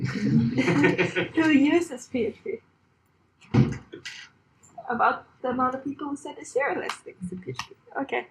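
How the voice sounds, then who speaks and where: A woman's voice: high voice, animated, measured pace, long pauses, a little hiss or hum, low-quality recording. A woman in her thirties from Sweden with a Swedish accent, giving a chatty, self-deprecating conference talk with frequent laughs. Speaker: conference speaker. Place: conference room on a stage.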